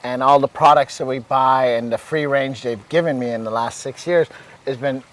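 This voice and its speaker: A man from Iran, a guest chef, calmly talking through dishes outdoors, step by step with a few fillers. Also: medium pitch, moderate pace. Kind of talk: casual cooking chat. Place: outdoors at a villa table.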